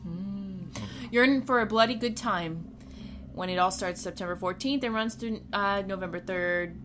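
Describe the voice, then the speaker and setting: A woman's voice: medium pitch, moderate pace, music in the background. A woman co-hosting a podcast in casual chat, laughing often and tripping over names as she reads an announcement aloud. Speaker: podcast co-host.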